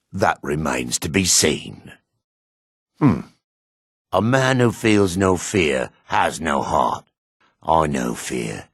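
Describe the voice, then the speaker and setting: An actor playing a grim, weathered male dark elf warrior in a fantasy game, with a husky, measured, menacing delivery. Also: very deep voice, no echo, clean studio sound, exaggerated voice. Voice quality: gravelly, husky. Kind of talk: fantasy game character lines.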